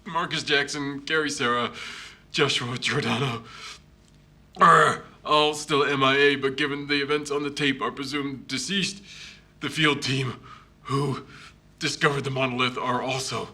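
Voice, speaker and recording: A man playing a grieving, troubled investigator in a found-footage horror monologue, halting and emotional. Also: mid-range voice, steady tone, pushed and bright. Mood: somber. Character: grieving widowed investigator, troubled and increasingly agitated.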